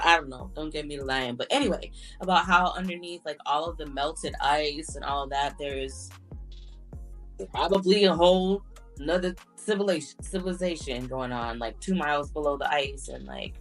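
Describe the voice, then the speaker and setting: A woman with a low voice talks casually and candidly, like a host in a relaxed conversation. She sounds reflective as she goes, with soft music behind her. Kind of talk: casual chat.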